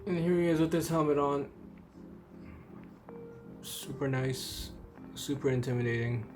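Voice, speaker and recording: A man's voice: mid-range voice, measured pace. A man giving a casual, rambling review, thinking aloud in an informal, offhand way. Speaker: host of a toy figure review channel. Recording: music under the voice. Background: background music.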